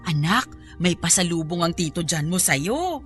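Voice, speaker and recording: A woman playing a casual, gentle Filipino mother speaking to her young daughter in an audio drama. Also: lowish voice, animated, normal speed, soft background music. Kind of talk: acted audio drama dialogue.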